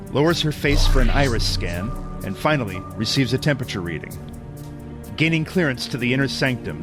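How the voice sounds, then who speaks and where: A male narrator reads scripted audio drama in a mid-pitched voice. Music plays clearly behind his storytelling. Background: background music.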